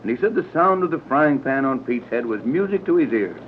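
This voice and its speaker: An actor playing a decisive ship captain who gives brisk orders, in 1930s radio acting. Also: male voice, medium pitch, expressive, a little hiss or hum, close to an everyday voice. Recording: tinny lo-fi 1930s radio recording.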